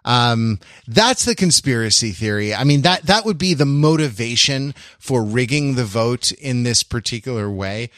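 A man's voice: medium pitch, expressive, moderate pace, hardly any pauses, fairly bright tone, no echo. Casual male podcaster, close dry sound. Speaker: podcast co-host. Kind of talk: casual panel discussion.

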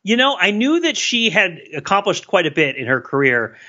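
A man's voice: high-pitched, big pitch swings, moderate pace, sharp tone. A man co-hosting a podcast in casual chat, teasing his partner in a playfully earnest way. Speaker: podcast co-host. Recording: dull lo-fi sound.

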